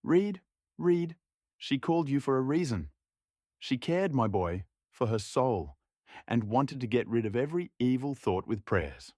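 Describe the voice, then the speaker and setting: A man's voice: mid-range voice, big pitch swings, a little slow, a little mellow, dry recording, studio quality, voice pushed up. A man playing a sad, stern farmer and village master in a story, commanding and questioning. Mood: insistent.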